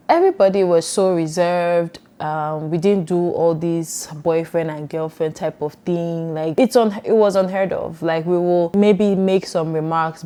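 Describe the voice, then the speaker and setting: A woman's voice: lowish voice, mellow. A young Nigerian woman vlogger with a Nigerian accent, chatting casually and rambling through personal questions. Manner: chatty, rambling, laughs often. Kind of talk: casual get-to-know-me chat.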